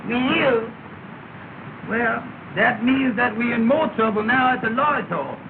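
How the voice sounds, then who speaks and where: A man's voice: very high-pitched, a little slow. An actor playing a worried, easygoing working man who speaks in a plaintive drawl with broken dialect grammar, on an old film soundtrack. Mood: fretful. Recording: tinny, hissy 1930 film soundtrack.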